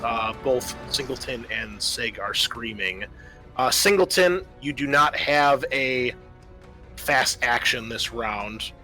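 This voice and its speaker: A man's voice: medium pitch, sharp tone. A man acting as game master of a tabletop horror game, talking casually with swearing and narrating dramatically. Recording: music behind the voice.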